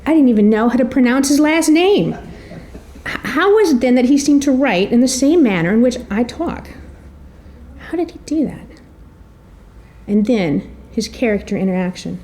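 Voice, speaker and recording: Middle aged lady doing an intro speech, warm mellow voice, wry storytelling, speaks clearly and a bit theatrical. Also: fairly high pitch, expressive, normal speed, a little hiss or hum. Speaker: presenter introducing an author.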